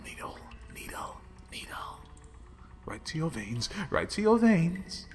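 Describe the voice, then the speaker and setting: A creepy male plague villain, played by a man in a roleplay audio drama, drawling slowly and whispering with relish. His pitch swoops wildly between hushed menace and shrill sing-song taunts. Faint music plays underneath. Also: heavily acted. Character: obsessive, contemptuous male plague-breeding villain.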